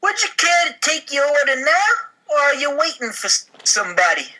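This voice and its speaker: A man's voice: very high voice, very animated, normal speed, bright, forceful tone, pitched above the performer's own voice, exaggerated voice. A man voicing an overly polite, eager restaurant waiter, gushing and fussy, in a comedy script.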